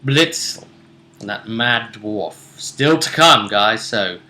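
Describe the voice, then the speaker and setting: A British man hosting a tabletop role-play vidcast, recapping a game casually in a halting way, repeating himself and trailing off. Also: fairly low pitch, expressive, bright, forceful tone. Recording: roomy, echoing sound.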